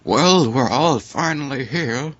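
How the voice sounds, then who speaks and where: An earnest young female cartoon pony , as a man reader puts her on, worried and solemn. The voice is pushed higher and speaks formally. The recording is dull and lo-fi. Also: animated, slow, fairly bright tone, breathy edge, full character voice.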